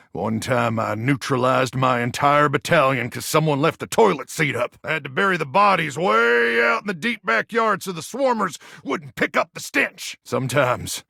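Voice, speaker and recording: High, gravelly, feral wasteland zealot. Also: male voice, sharp tone, no echo, clean studio sound, heavily acted. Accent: American. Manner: folksy drawl, preachy and theatrical. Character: feral, zealous, bragging wasteland soldier of a household appliance. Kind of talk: video game character voice acting.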